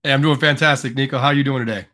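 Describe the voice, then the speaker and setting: A man appearing as a podcast guest, walking through figures in a measured, step-by-step way. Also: medium pitch, steady tone, sharp tone. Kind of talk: casual podcast discussion.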